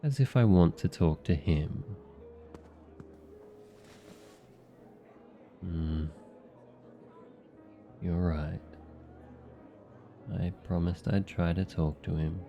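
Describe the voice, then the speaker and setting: A man's voice: low voice, gravelly, dull audio, heavily acted. A man playing a cold, surly younger demon brother, curt and protective, in an ASMR fantasy roleplay. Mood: grudging, menacing. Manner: curt, serious, hostile.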